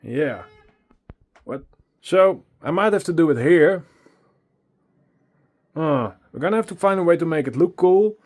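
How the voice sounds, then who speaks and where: A man's voice: on the high side, animated, a little slow, long pauses, darkish tone. Dutch man vlogging, a bit out of breath. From Netherlands. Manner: chatty, self-deprecating, laughs often, swears casually. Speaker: music producer vlogger. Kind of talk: casual vlog talking to camera. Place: small home studio with acoustic foam, indoors. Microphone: handheld phone at arm's length.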